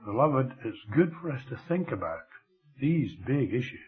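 An older man with a Scottish accent preaching a sermon, slow and expressive, letting his voice fall very quiet at the ends of phrases. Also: mid-range voice. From Scotland. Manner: slow, lively delivery with dramatic drops to near whisper. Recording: thin phone-like radio broadcast.

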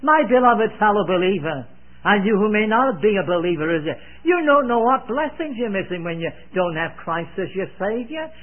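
Elderly preacher, high-pitched and sweeping. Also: male voice, sing-song, moderate pace. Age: 80s. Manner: earnest, emphatic, repeating phrases for weight; solemn, old-fashioned devotional phrasing. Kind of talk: sermon, expounding scripture. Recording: narrow, phone-like old sermon recording.

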